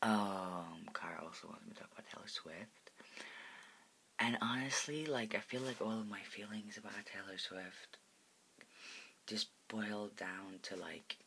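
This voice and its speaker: A twenty-something whispering a loose, sweary chat at home, peppered with "like". The hushed voice sits low and gravelly, with a bright, crisp edge. A faint hiss runs underneath. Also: unhurried. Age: 20s. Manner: whispering throughout, profane, lots of "like" fillers. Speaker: video blogger answering viewer requests. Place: at home in a parent's house.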